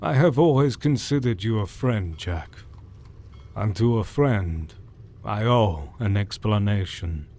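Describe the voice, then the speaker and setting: A man playing a slow, earnest old doctor. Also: fairly low pitch, lively intonation, warm tone, slight background noise. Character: learned older male doctor, vampire hunter. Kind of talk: audio drama acting.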